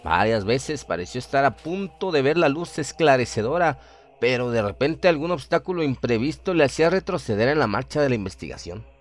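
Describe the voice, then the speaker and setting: A man narrating a scripted horror radio drama in a measured, literary, dramatic storytelling manner. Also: medium pitch, expressive.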